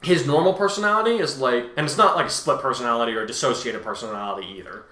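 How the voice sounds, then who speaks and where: Bright-voiced man chatting in an echoey room. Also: mid-range voice, racing. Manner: rambling, self-correcting. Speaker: host, fan fiction author and reader. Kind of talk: casual discussion of own story.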